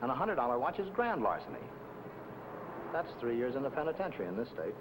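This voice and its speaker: Actor as a hard-nosed investigator, animated and menacing. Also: male voice, fast talker, lightly acted. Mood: stern, lecturing. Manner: blunt, condescending, insistent. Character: hard-nosed, threatening male debt-collection investigator. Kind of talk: 1950 film noir acting. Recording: noisy old film soundtrack, traffic rumble.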